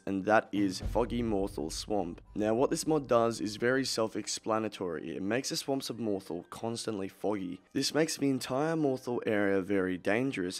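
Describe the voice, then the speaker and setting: Guy doing scripted game video narration, lowish voice, measured ominous storyteller delivery. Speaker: video presenter.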